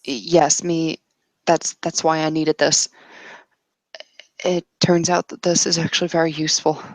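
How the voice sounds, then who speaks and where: A woman playing a nervous, defiant female fighter who hides her fear behind halting, defensive speech. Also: low-pitched, flat delivery, no room sound. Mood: halting, guarded.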